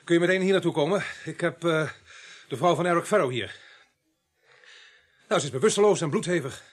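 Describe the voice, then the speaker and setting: An actor playing a blunt, wily, sarcastic police sergeant in a radio play. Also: male voice, a little high, fairly quick, breathy edge, no room sound, clean studio sound. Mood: brisk, probing. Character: gruff, wily, sardonic male police sergeant at night duty.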